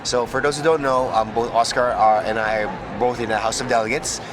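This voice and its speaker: A male podcast host interviewing a guest at a conference booth, effusive and enthusiastic, filling pauses with "mm" and laughs. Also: mid-range voice, steady tone, background noise. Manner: effusive, frequent "mm" affirmations and laughs.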